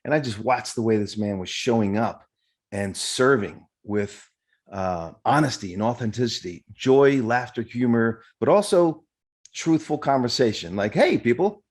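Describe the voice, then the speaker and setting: A man's voice: medium pitch, animated, normal speed. A male podcast host giving an earnest, effusive introduction and asking questions, with fillers and restarts.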